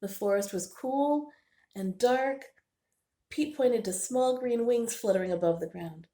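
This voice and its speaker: A woman reading a children's storybook aloud with expressive, deliberate narration. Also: fairly high pitch. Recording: roomy, echoing indoor sound.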